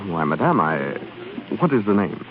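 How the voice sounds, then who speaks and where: An actor playing a polite, discreet hotel clerk with a formal, deferential manner in 1930s radio drama style. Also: male voice, deep voice, sing-song, moderate pace, narrow, tinny audio. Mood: courteous, reserved. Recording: thin band-limited 1930s radio recording.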